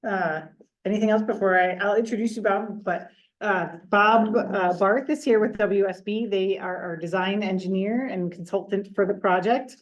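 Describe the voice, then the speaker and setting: A woman's voice: medium pitch, steady tone, highs cut off. Woman hosting a community meeting. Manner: friendly, with halting introductions. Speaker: meeting facilitator. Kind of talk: moderating a public meeting.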